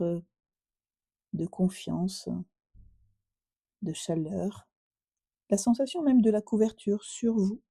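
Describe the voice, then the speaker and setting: A woman yoga teacher guiding a relaxation meditation in a soothing, unhurried way with gentle pauses. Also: mid-range voice, breathy edge, no echo.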